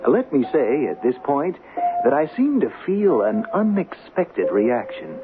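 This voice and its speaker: An actor playing a special news reporter in an old radio drama, florid, rhetorical and portentous. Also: male voice, mid-range voice. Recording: phone-like old radio, loud music behind.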